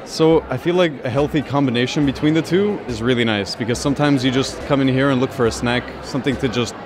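A man's voice: mid-range voice, brisk. Enthusiastic young man in a busy canteen. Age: young adult. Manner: enthusiastic, chatty. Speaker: student. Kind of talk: vox-pop answers. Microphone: handheld microphone. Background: crowd chatter.

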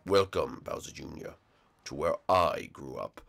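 Bowser, as a man voices him, a gravelly dragon king. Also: extremely low pitch, measured pace, fairly bright tone, pitched below the performer's own voice, heavily acted. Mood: stern. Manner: stern, patient, corrects his son. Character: gruff middle-aged male king dragon-turtle, strict father.

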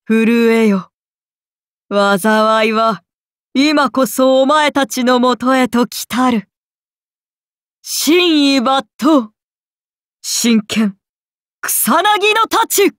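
A woman's voice: a little high, drawn-out words, long pauses, dry recording, studio quality. A woman voicing a haughty, solemn serpent goddess in an anime game, speaking in archaic, commanding words. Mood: menacing, majestic. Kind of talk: Japanese anime game voice acting.

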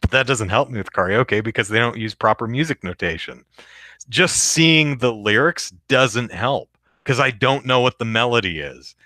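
A man with a deep voice hosting a live podcast, reading the chat aloud and rambling casually with many fillers and restarts. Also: expressive, normal speed, sharp tone, dry recording.

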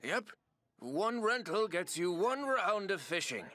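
A man's voice: sits high, big pitch swings, a little slow, sharp tone, exaggerated voice. A man playing a chipper, folksy old fishing shopkeeper in a video game, with an eager sales manner. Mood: chipper, inviting.

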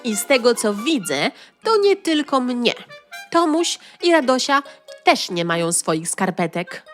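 A woman voicing a panicky, dramatic little girl who talks in shrill outbursts. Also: very animated, measured pace, fairly bright tone, faint music behind. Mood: indignant.

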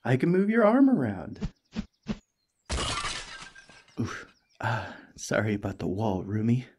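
A man voicing a cheerful, friendly alien parasite in a gentle, chatty ASMR roleplay, eager and reassuring. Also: fairly low pitch, wildly swooping pitch, moderate pace, slight background noise. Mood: excited, curious. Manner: gentle, chatty, playfully earnest.

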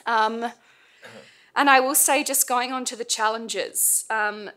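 A woman's voice: a little high, steady tone, slow, sharp tone. A young woman speaking on a panel at a table mic, hesitant with many fillers, her voice tired and fading. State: losing her voice. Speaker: panel speaker, former intern. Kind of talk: informal panel talk. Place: seminar room, panel table.

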